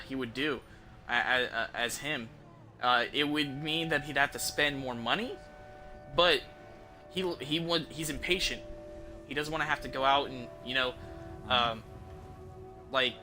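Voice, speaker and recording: A man with a medium-pitched voice streams a video game. His commentary is casual and rambling, circling back over his points, with game music clearly audible behind him. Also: steady tone, measured pace, long pauses, bright, forceful tone. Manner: rambling, informal, repeats himself. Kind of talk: casual live gameplay commentary. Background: game music and sounds.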